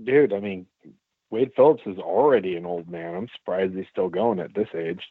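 A man's voice: low-pitched, moderate pace, breathy edge, no room sound, phone-quality sound. A man co-hosting a podcast, teasing the others with crude banter. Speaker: podcast co-host. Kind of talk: casual podcast chat.

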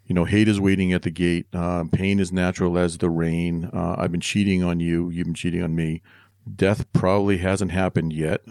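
A man's voice: low voice, level tone, normal speed, a little mellow, no echo, clean studio sound. A middle-aged man co-hosting a music podcast with his son, chatting in a rambling, friendly way with lots of restarts. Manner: chatty, rambling with restarts, corny dad jokes. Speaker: podcast co-host. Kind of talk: casual podcast chat.

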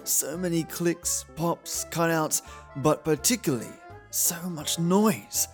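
A man introduces a show as podcast host. His voice is slightly high for a man, playful and theatrical, with music behind it. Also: slow pace. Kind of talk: scripted introduction.

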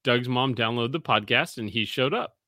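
A man's voice: medium pitch, normal speed, pushed and bright, no room sound, studio quality. A man in his forties hosting a podcast, telling a long rambling story in casual banter and swearing freely. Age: 40s. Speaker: podcast host.

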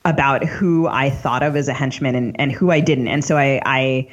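A woman's voice: extremely low pitch, steady tone, normal speed. A woman speaks as a podcast co-host in a conversational literary interview. Her recording is a little dull and lo-fi. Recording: slightly dull, lo-fi audio.

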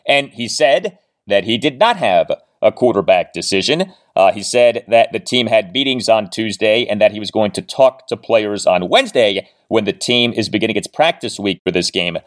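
A man hosting a sports podcast in an emphatic, deliberate monologue, often repeating phrases for weight. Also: lowish voice, expressive, dry recording, studio quality. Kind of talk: sports commentary monologue with sponsor read.

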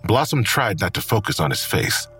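A man's voice: lowish voice, very animated, normal speed, sharp tone, husky, faint music behind, exaggerated voice. A man acting as the narrator of a grim crime audio drama, reading scripted prose. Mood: somber narration. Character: omniscient male narrator of a grim crime story.

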